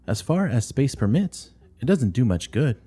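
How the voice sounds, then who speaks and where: A man's voice: medium pitch, very animated, normal speed, dark tone, breathy edge, voice pushed up. A man voicing a timid young nun who teaches, speaking in a hesitant, deferential way in a play reading. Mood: timid, questioning.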